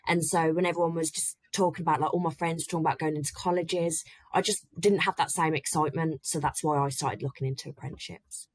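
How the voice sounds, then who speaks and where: A woman's voice: sits low, steady tone, fast talker, no room sound, rough recording. A woman in her early twenties, an apprentice guest, answering interview questions in a casual, chatty way. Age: early 20s.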